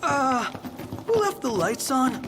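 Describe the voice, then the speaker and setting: An actor playing an excitable, boyish young sidekick in a video game, playful, whiny and full of exclamations. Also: male voice, very high voice, takes it slowly, noisy background, full character voice. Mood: weary, whiny. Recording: music behind. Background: clear game music.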